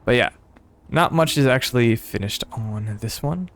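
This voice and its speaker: A man's voice: mid-range voice, lively intonation, moderate pace. A young man streaming a building game, talking in a casual, rambling way with many fillers and a slightly stuffy voice. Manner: rambling, hesitant, frequent laughs and fillers. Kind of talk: casual gameplay commentary.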